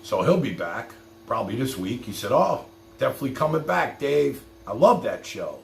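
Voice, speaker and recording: Male streamer, streetwise and colloquial, lively intonation with a gravelly edge. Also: mid-range voice, normal speed. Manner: colloquial, streetwise, direct address. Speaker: live stream show host. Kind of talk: casual live stream chat, taking calls. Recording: roomy, noisy live stream.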